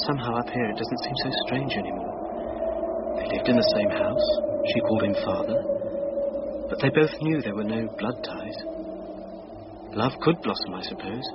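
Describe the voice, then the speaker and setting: Man playing a brooding muser, loud noise behind. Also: medium pitch, lightly acted. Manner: musing, contemplative. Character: brooding, reflective man waiting alone on a hill. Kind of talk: British radio drama monologue. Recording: dull radio recording, loud noise behind.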